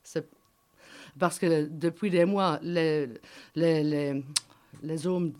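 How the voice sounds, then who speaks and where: A woman's voice: low voice, steady tone, extremely slow, dark tone. A woman whose first language is German, speaking French as a guest and prisoner's wife, often searching for words and repeating herself. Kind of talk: earnest testimony.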